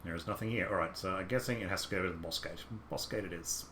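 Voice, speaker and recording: A man's voice: sits low, fast, echoey room, noisy background. A young Australian man with an Australian accent, rambling easily through a game stream while chatting with viewers. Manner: rambling, easygoing, talks to himself about the game. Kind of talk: casual gameplay commentary chatting with live viewers.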